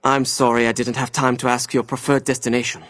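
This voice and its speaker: A man plays a thoughtful mutant hero in a game cutscene. He speaks with a German accent at a medium pitch, in a calm, courteous and measured way. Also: close to an everyday voice. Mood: earnest, urgent. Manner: formal, courteous, measured phrasing. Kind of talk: video game cutscene acting.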